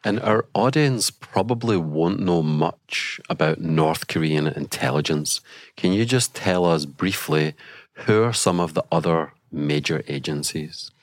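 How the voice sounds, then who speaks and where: A man hosting a podcast interview, asking measured, polite questions. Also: very deep voice, no echo, studio quality. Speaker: podcast host.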